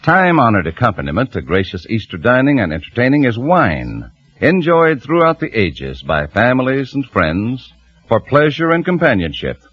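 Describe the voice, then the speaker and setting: A male radio announcer reading a sponsor's commercial in a smooth, persuasive manner. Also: medium pitch, very animated, moderate pace, a bit bright. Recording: lo-fi vintage radio broadcast.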